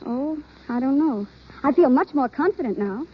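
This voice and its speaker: An actress playing an excitable, uncertain young stage newcomer in a 1930s radio drama, earnest and hesitant. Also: female voice, sits high, moderate pace, a little hiss or hum. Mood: defensive. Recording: dull, lo-fi old radio broadcast.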